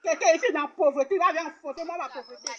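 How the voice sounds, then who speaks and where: A woman's voice: very high-pitched, racing, dull audio, noisy background. A woman playing a poor, fiery young woman who scornfully turns away a suitor, her emotional voice often breaking into sobbing laughter. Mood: indignant.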